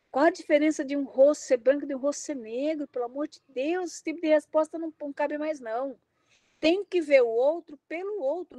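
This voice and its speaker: A woman's voice: high voice, mellow, no room sound. A middle-aged woman with a Brazilian Portuguese accent, giving an impassioned academic talk, emphatic and exclaiming. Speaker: invited lecturer.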